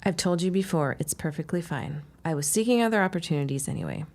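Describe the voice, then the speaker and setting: Wry young woman, creaky low voice. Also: lively intonation, a little mellow. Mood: exasperated, sardonic. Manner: sardonic, quick-tongued. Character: wry, stubborn young shopkeeper's daughter and out-of-work teacher. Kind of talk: period radio-style audio drama acting.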